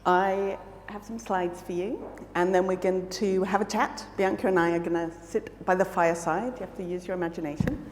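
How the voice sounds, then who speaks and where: A woman's voice: lowish voice, fairly even intonation, moderate pace, few pauses, slight background noise. A jet-lagged woman with a mixed British and South African accent, giving an earnest, reflective conference talk full of fillers and restarts. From Malawi. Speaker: keynote speaker. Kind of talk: conference presentation. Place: large conference hall on stage. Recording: echoey hall, faint ambience.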